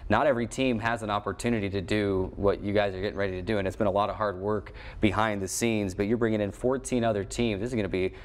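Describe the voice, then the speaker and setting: A man's voice: fairly low pitch, fairly even intonation, fast talker, few pauses. A man in his twenties with an American accent, hosting and interviewing in a sports broadcast style. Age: 20s. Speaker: sports show host. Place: small indoor locker-style studio set.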